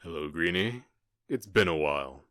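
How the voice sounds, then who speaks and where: A man's voice: deep voice, lively intonation, fairly bright tone, no room sound, clean studio sound. A man playing a menacing gang boss and gunslinger kidnapper in an ASMR roleplay, smug and taunting as he draws out his words. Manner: smug, taunting, drawn-out storytelling.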